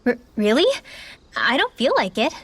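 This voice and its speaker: A woman's voice: fairly high pitch, very animated, moderate pace, husky, no room sound. A woman playing an eager, bookish teenage girl mage in a video game, with a youthful, easily indignant manner. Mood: sheepish, indignant. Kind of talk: video game cutscene acting.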